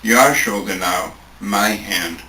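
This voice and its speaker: A man reading poetry aloud at a live event, deliberate and pausing phrase by phrase. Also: fairly low pitch, pushed and bright. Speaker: poetry reader at a tribute event. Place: live event room, recorded from a distance. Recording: echoey room, distant mic, faint noise.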